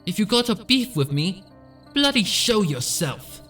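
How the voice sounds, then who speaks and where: A man's voice: high-pitched, sing-song, a little slow, far from the mic, faint music behind, pitched above the performer's own voice, full character voice. A man voicing an angry, frightened but defiant female pony musician, ranting indignantly. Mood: furious, defiant. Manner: indignant shouting with British slang.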